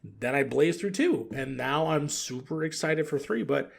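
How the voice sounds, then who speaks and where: A man chatting casually as a podcast guest, telling rambling stories in slangy language with a gravelly, husky voice. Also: a little high, lively intonation, a little slow. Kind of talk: casual gaming chat.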